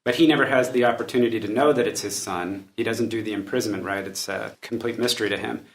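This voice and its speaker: A man's voice: lowish voice, fast talker. A man talking through literature in a casual panel discussion, in conversational style. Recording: echoey room.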